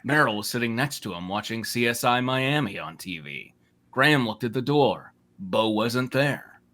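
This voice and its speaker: A man's voice: medium pitch, animated, moderate pace, sharp tone. Amused man reading comic fiction aloud. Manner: amused, wry. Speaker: narrator and reader. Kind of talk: comic fan fiction reading with casual asides.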